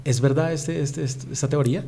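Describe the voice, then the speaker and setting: A man's voice: a little high, fairly even intonation, a little fast, vocal fry, warm tone, breathy edge. A man interviewing a voice actress, giving short affirmations and asking hesitant, searching questions.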